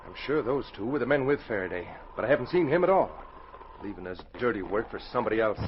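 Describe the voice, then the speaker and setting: An actor playing a shaken, remorseful outlaw with a halting, earnest delivery in a 1940s radio adventure. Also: male voice, on the low side, expressive, natural voice. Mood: tense, bitter. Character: shaken, remorseful outlaw, betrayed by his partner. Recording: dull, hissy 1940s radio recording.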